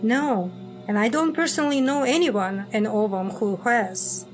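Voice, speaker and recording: A woman playing a brash, sharp-tongued secret agent on the run in an audio drama, blunt and sardonic. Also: fairly high pitch, unhurried, soft background music, lightly acted. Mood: serious.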